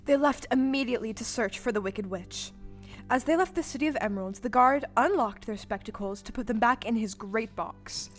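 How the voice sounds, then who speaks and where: High-voiced female storybook narrator. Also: fairly quick. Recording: music under the voice.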